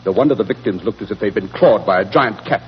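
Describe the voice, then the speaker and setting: An actor playing a clever, self-assured English gentleman detective with a British accent, explaining with smug authority in a 1940s radio drama. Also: on the low side, quick pace, slight background noise, natural voice. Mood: commanding. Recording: dull, muffled 1940s radio recording.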